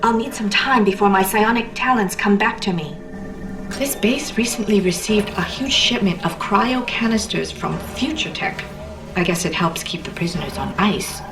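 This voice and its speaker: A woman's voice: medium pitch, lively intonation, moderate pace, recorded from a distance, lightly acted. An actress playing an angry young psychic woman in a video game, with a cold, defiant, brooding manner. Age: young adult. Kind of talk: scripted video game voice acting. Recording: game audio under prominent music.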